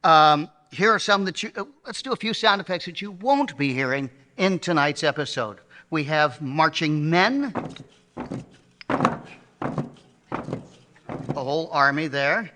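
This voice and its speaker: A man's voice: fairly high pitch, unhurried, pauses often, pushed and bright, a little hiss or hum. A man giving an informal, chatty live introduction as a presenter, recorded in an echoey hall before an audience.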